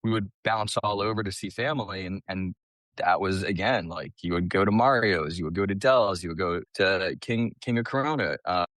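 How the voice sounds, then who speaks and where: A man's voice: sits low, normal speed, fairly bright tone, no echo. A man from the US East Coast telling his story casually as a podcast guest, chatty and colloquial. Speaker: podcast guest, small business owner.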